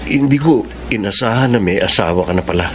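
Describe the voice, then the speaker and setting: A man's voice: on the low side, expressive, fast, background noise, close to an everyday voice. An actor playing a shy, lovelorn man pining for a married woman in a radio drama, earnest and sentimental. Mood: wistful. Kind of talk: radio drama acting in Tagalog.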